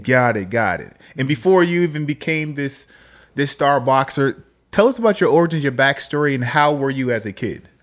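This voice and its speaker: A man's voice: mid-range voice, expressive, moderate pace. Man co-host asking guest questions. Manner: affirming, asks guest questions with many "okay" and "yep". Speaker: podcast co-host, boxer. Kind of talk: casual podcast interview.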